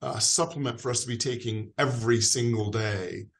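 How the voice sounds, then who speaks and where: A man's voice: medium pitch, fairly even intonation, normal speed, gravelly. A man with an American accent, a guest expert on a video call, explaining in a friendly way with frequent fillers. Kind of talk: explanatory interview answers.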